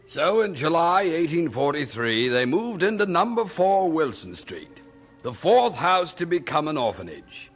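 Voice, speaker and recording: A man's voice: on the high side, very animated, measured pace, soft background music. A man narrating a scripted story in a dramatic old-time radio manner.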